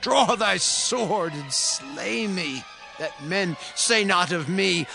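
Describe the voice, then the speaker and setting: A ruthless warlord king, pitched high with strain and heavy with gravel. He speaks slowly and forcefully, proud and commanding, in a biblical audio drama with faint music behind. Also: expressive, heavily acted. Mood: dying, desperate. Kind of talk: dramatized audio Bible acting.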